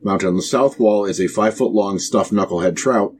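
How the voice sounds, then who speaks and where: Low-voiced man running a tabletop game. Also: fairly quick, darkish tone, breathy edge. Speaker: game master. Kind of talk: narrating a tabletop role-playing game.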